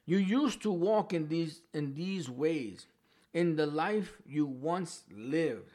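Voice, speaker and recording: A man's voice: fairly high pitch, animated, takes it slowly, a little mellow. A man with a New York accent teaching a Bible passage in a loose, conversational way, often restarting his phrases and checking in with "right?" and "you know". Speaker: preacher. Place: home with a dog barking in the background.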